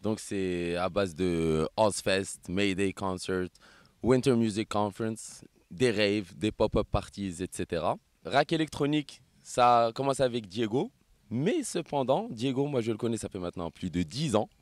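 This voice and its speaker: A man, Moroccan and born in France, co-founder of an events start-up, pitching his company with upbeat slogans. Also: normal speed, dry recording. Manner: enthusiastic pitchman, listing and sloganeering. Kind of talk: promo interview.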